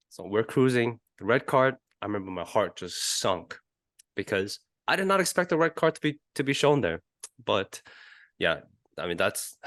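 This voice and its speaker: A man with a fairly low voice talks casually as a podcast host. He searches for his words in a hesitant way, and the sound is dry with very little room on it. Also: no echo. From Asia. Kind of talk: casual football chat.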